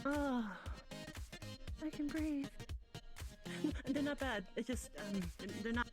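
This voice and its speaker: Flustered naive girl character played by a woman, high slow voice, halting, bit of music. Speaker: roleplayer in an online fantasy game. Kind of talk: improvised in-character roleplay.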